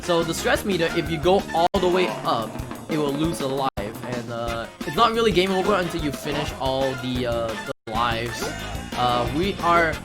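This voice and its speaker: A man's voice: a little high, lively intonation, normal speed. A man giving casual live commentary while playing a video game, moving between plain explanation and playful mock-dramatic exclamation. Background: video game music.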